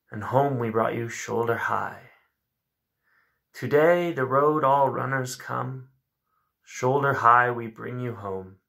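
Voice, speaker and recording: Man in his thirties, gravelly, bright forceful tone, reflective, poetry host at home. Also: medium pitch. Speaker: host of a poetry reading video. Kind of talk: poetry recitation and casual commentary. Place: small room at home with a bookshelf, indoors.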